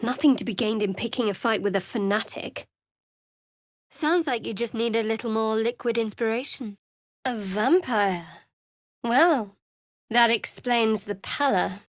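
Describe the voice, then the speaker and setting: Woman acting a guarded, sardonic young cleric, higher-pitched. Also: no echo, natural voice. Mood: dry, assured. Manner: dry, measured, wry delivery. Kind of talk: fantasy video game voice acting.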